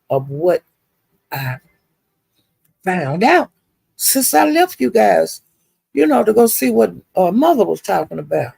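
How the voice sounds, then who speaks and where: A woman's voice: medium pitch, vocal fry, mellow, slightly rough, dry recording. An older woman, chatting casually to live viewers as a livestream host in a folksy, rambling, salty-tongued way.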